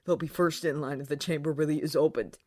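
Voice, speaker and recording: A woman's voice: low voice, steady tone, quick pace, very noisy, lightly acted. A woman voicing a young schoolboy hero, blunt, snappish and sarcastic, in close to her own voice. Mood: sharp.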